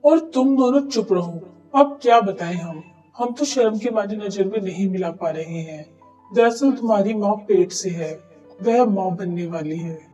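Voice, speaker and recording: Woman doing a mellow, earnest family man. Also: mid-range voice, measured pace, far from the mic, lo-fi sound, faint music behind, lightly acted. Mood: ashamed. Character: embarrassed family man of the household. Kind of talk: cartoon story dialogue.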